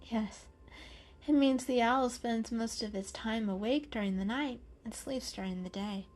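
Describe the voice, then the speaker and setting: A woman voicing a calm, kindly, bookish female cat in a storybook, explaining things gently and precisely. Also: a little high, measured pace, faint music behind. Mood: gentle, calm.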